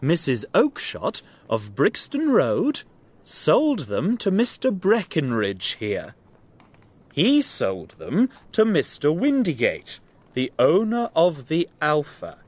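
A confident, polite male detective from an audio storybook, performed by a male reader. He speaks slowly and with great assurance in a fairly high, expressive voice, shrewd and deliberate. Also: husky, heavily acted.

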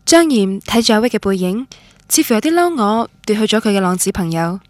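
A woman's voice: mid-range voice, big pitch swings, fairly quick, no room sound, lightly acted. An actress with a Cantonese accent playing a romantic, stubborn young woman who tells her love story in a radio drama. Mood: wistful. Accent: Cantonese, Guangdong. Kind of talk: radio drama narration and dialogue, introspective first-person.

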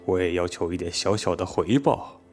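A man acts out a mature, corrupt mayor in a radio play. His voice sits low and he speaks with slow, insinuating control, as one used to getting his way. Faint music plays underneath. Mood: smug, coercive. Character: predatory mayor, mature man, coldly propositioning a young woman. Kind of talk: Chinese audio drama acting.

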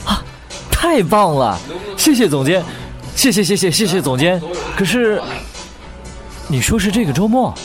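A man's voice: sits high, big pitch swings, unhurried, long pauses, faint music behind. A man acting an eager, earnest young aspiring singer in a radio drama. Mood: thrilled, then surprised. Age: young adult. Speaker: actor in an audio drama.

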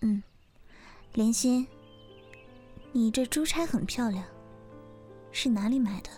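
A stern young wife of an old Chinese household, acted by a woman, speaks in a high voice over background music. She is haughty and quick to accuse, with the commanding bearing of a jealous mistress in a period audio drama. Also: a little mellow, slightly rough. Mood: composed, commanding.

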